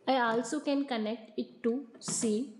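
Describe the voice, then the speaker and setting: A woman's voice: fairly high pitch, fairly even intonation, a little slow, darkish tone. A woman in her twenties with an Indian accent, presenting an instructional demonstration plainly and step by step. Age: 20s. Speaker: product demonstrator. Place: office showroom with shelves, indoors. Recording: roomy, echoing indoor recording.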